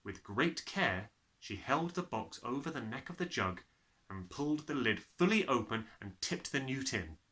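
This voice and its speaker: Animated male children's storyteller, bright voice. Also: medium pitch, moderate pace. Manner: expressive, dramatic storytelling delivery. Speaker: storyteller reading a children's novel aloud. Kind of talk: animated scripted narration.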